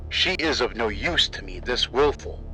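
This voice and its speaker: A man playing a cold, commanding villain boss with a rough voice, giving orders in an animated drama. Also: medium pitch, normal speed, faint music behind, poor audio.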